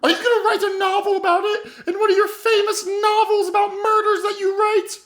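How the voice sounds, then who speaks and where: A woman voicing an excitable, gushing lady book manager in an improvised radio comedy. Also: very high-pitched, brisk, pitched above the performer's own voice. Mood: eager, gushing. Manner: gushing, eager.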